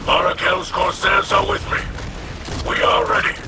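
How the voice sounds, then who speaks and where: A man performs a solemn alien warrior captain for a game, fairly high for a male voice. The speech is a breathy, rasping growl, formal and grave, under loud music. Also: steady tone, moderate pace, natural voice. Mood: fierce resolve. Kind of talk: video game cutscene acting.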